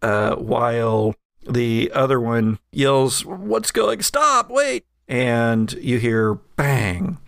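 A man narrating a tabletop game as game master, relaxed and chuckling, with many fillers. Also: mid-range voice, extremely slow, vocal fry, no echo, studio quality.

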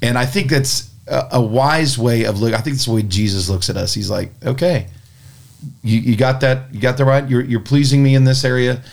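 A man's voice: medium pitch, fairly even intonation, moderate pace, vocal fry. Joking man hosting a podcast. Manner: joking, stammering restarts. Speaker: podcast host. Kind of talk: casual chat.

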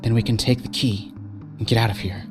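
A man's voice: on the low side, fairly even intonation, a little fast, over music, lightly acted. A man voicing a wary, defiant young boy in an audiobook, steely and frustrated. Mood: determined. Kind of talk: audiobook character voice.

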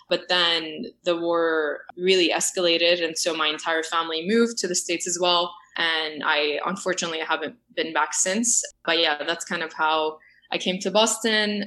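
A young woman with a lowish voice, telling her story as a guest in a relaxed interview. She rambles and corrects herself mid-sentence. A faint hiss of room noise sits under her voice. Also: low-quality recording. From Syria. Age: young adult. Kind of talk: conversational interview.

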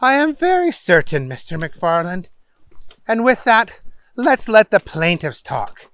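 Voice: medium pitch, sing-song, unhurried, fairly bright tone, dry recording, pitched above the performer's own voice. A storyteller voicing a weary, exasperated male judge who questions insistently and spells out choices in a comic story. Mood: stern, baffled.

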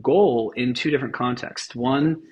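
Animated rambling man. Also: medium pitch, unhurried. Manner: animated, rambling, swears casually. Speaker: event founder and guest. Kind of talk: casual podcast interview.

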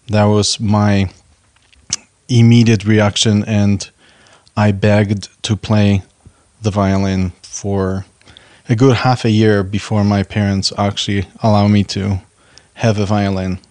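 Lower-voiced man from Poland, dryly recorded. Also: flat delivery, slow pace, darkish tone, dry recording. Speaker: orchestral violinist, podcast guest. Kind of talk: personal anecdote, conversational.

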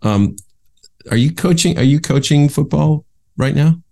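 A man's voice: fairly high pitch, unhurried, vocal fry, mellow. A man from West Michigan hosting a casual interview, conversational and affirming toward his guest. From West Michigan, USA. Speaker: podcast host.

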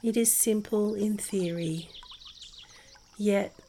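A woman narrating a guided meditation in a soothing, gentle and unhurried manner. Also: mid-range voice, slight background noise. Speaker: meditation podcast host and guide. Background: music behind the voice.

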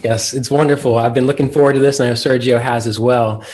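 A man's voice: medium pitch, steady tone, fast talker, darkish tone, a little hiss or hum. A man hosting a podcast in a casual, hesitant and good-humoured way. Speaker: podcast host.

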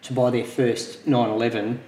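A man with a mid-pitched voice hosting a podcast. He chats easily and steers with questions. His voice comes through in a roomy, echoey space. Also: flat delivery, normal speed, warm tone. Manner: chatty, leading questions. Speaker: podcast host. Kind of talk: casual podcast interview.

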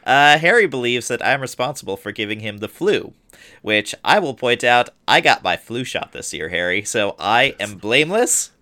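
A man's voice: mid-range voice, very animated, normal speed, pushed and bright. A man co-hosting a podcast in casual chat, teasing and wry, often laughing. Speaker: podcast co-host.

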